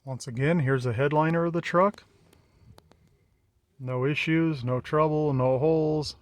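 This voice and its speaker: A man's voice: on the high side, steady tone, a little slow. A man narrating a casual hands-on walkthrough of a vehicle installation, speaking in a plain, matter-of-fact way as he films. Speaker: vlogger showing a vehicle radio installation. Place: outdoors around and inside a parked truck.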